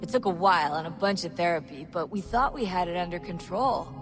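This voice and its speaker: A woman's voice: fairly low pitch, expressive, brisk, music in the background, natural voice. An actress playing an easygoing, supportive young woman on a covert team in a video game, casual and friendly. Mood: sympathetic, reassuring. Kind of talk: video game cutscene acting.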